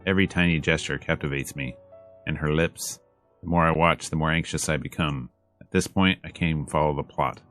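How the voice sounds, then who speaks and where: A man reading a visual novel aloud as a let's player, in measured, dramatic storytelling narration over game music. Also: a bass voice, fairly even intonation, a bit bright.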